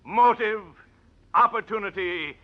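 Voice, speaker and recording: An actor playing an aggressive, scornful prosecuting attorney with theatrical, emphatic oratory in 1940s radio drama style. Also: male voice, high voice, a little slow, very noisy. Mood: forceful, accusing. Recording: muffled old radio broadcast recording.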